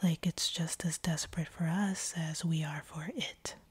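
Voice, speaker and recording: A woman playing a seductive, teasing, slightly sadistic vampire captor in an intimate audio roleplay, speaking in a breathy whisper close to the microphone. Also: sits low, fairly even intonation, no room sound, exaggerated voice. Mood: seductive, hungry. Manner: slow, teasing, predatory purr. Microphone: close microphone, dry.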